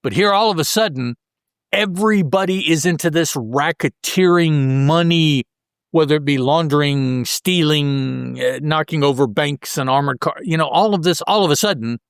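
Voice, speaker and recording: A man's voice: fairly high pitch, moderate pace, smooth, no echo, clean studio sound. A man co-hosting a podcast, talking through comic books in a casual, rambling, conversational way. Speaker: podcast co-host. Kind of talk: casual comic book discussion.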